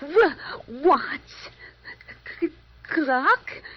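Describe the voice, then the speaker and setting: In dull, lo-fi audio, a plucky heroine of a 1940s radio serial, voiced as a woman. Her voice is high and very animated, with slow, halting, melodramatic delivery. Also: full character voice. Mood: stunned. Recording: dull, band-limited audio.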